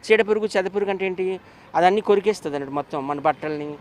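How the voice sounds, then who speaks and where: A man's voice: sits high, racing, darkish tone, slight background noise. A man speaking as an interview guest, giving his opinions emphatically and often repeating phrases. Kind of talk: animated opinionated interview talk.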